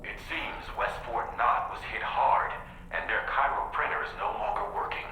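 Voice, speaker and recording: A concerned mission support man briefs formally in a video game. His mid-pitched voice is very sharp and bright. Strong echo surrounds it. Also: very animated, moderate pace, vocal fry, heavily acted. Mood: concerned, informative. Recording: strong echo, faint background noise.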